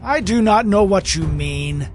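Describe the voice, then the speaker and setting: A man's voice: high-pitched, expressive, unhurried. An actor playing a frightened, defensive male disciple in a scripture drama, agitated and insistent. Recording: loud dramatic music underneath.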